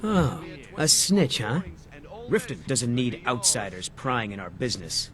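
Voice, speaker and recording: An actor voicing a sleazy, menacing sorcerer in a video game, making slow, sneering threats. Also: male voice, mid-range voice, sing-song.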